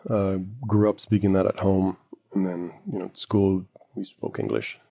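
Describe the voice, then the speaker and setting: A man who grew up with Arabic as his first language talks through software features on a casual podcast. His voice sits a bit low, with a mellow, dark tone and a gravelly edge. He explains patiently, step by step, with plenty of fillers. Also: level tone, moderate pace. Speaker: podcast co-host. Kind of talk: casual tech podcast chat.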